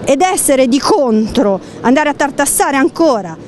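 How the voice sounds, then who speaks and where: Woman, high voice, firm, faint street noise. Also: lively intonation, measured pace, a little mellow. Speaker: passerby.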